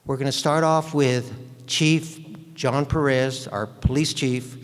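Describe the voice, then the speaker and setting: A man's voice: mid-range voice, steady tone, slow pace, smooth. A man informally hosting a community meeting and introducing speakers. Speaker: meeting host. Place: indoor school meeting room. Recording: echoey hall with long reverb.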